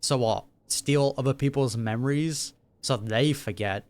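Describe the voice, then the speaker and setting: A streamer giving informal reaction commentary on a game, thinking out loud in a casual way. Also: mid-range voice, unhurried. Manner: informal, musing aloud. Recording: game music behind the voice.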